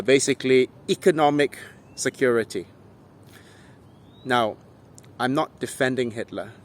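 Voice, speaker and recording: A man delivering an opinionated political monologue in an emphatic, indignant, rhetorical manner. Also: a little high. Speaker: political commentator.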